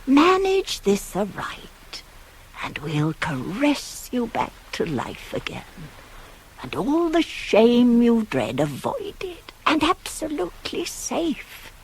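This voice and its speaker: Shrewd, persuasive nurse, swooping and deliberate. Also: female voice, a little high, huge pitch swings, exaggerated voice, low-quality recording. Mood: hushed, scheming. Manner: rhetorical, emphatic, insinuating, dropping to hushed tones. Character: older female nurse, shrewd, pragmatic, persuasive confidante of a queen. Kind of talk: 1980s radio play acting of Greek tragedy. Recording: hissy old cassette radio recording.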